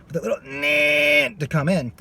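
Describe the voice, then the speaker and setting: A man's voice: a little high, moderate pace, bright, forceful tone. A man teaching a singing lesson. He is losing his voice and stops his explanation to demonstrate screams. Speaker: vocal coach.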